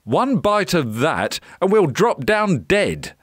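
A man's voice: sits high, big pitch swings, slow, a bit bright, dry recording, clean studio sound, pitched above the performer's own voice, heavily acted. A man voicing a brave, fussy little storybook boy who is plucky and exclaiming. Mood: disgusted.

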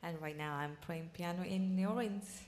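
A woman from Japan with a Japanese accent, a pianist introducing herself slowly and carefully. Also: lowish voice, a little mellow.

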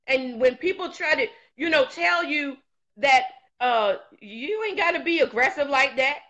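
A woman's voice: sits high, lively intonation, a little slow, a bit bright. A woman evangelist on a video call, exhorting in rhythmic repeated phrases. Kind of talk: preaching-style talk.

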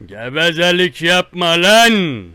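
A man playing a menacing, boastful rustic tough guy who threatens a caller with slow, repetitive, drawn-out threats on a one-sided prank call. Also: high voice, sing-song, bright, forceful tone, clear, resonant tone, no room sound, heavily acted.

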